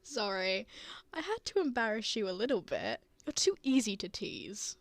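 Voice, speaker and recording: A woman playing a gentle, reassuring father who comforts a frightened child in a tender ASMR roleplay. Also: mid-range voice, sing-song, moderate pace, no echo, lightly acted. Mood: playful, teasing. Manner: soothing, tender, earnest.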